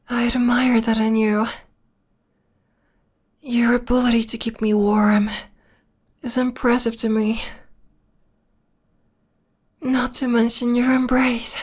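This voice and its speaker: A woman playing a possessive, obsessed young vampire lover in an ASMR romantic roleplay, speaking softly and intimately, often in a breathy whisper. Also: a little high. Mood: tender. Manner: slow, intimate, sweetly controlling.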